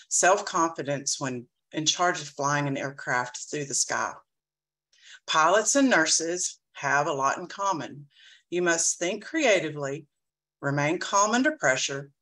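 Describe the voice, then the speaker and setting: A woman reading a prepared campaign speech as a board candidate, measured and earnest. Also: fairly low pitch, sharp tone.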